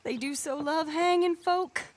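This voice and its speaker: An actress playing a passionate, sardonic young Southern belle with a genteel American South accent in a video game. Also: female voice, sits high, unhurried. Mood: urgent, sardonic. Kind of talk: video game cutscene acting.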